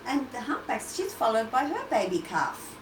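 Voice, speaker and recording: A woman's voice: on the high side, very animated, moderate pace. A woman hosting storytime, reading a picture book to young children with questions and asides to the listeners. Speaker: children's storytime host and teacher. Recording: roomy, distant, noisy livestream audio.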